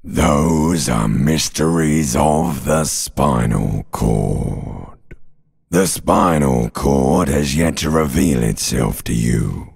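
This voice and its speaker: A man voicing an ancient reptilian brain in a video game, a seductive, menacing, world-weary inner voice with a guttural rasp and sardonic crooning. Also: very deep voice, darkish tone, full character voice. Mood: taunting, seductive. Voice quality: gravelly, raspy, guttural croak. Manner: slow, drawn-out, mock-soothing, sardonic crooning. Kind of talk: theatrical video game voice acting.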